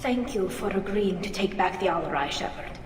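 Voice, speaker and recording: A woman's voice: mid-range voice, expressive, fairly quick, natural voice. A woman playing an earnest, emotional young alien engineer on trial in a video game cutscene. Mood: worried, earnest. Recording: echoey hall, music underneath.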